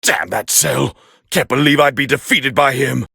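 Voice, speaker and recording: A proud warrior prince from an anime fighting game, growling with heavy gravel in his throat. He sounds forceful and condescending, commenting on battle in clipped, scornful phrases. The voice sits fairly high for a man and is recorded close and dry. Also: normal speed, no room sound, full character voice. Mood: angry, intense.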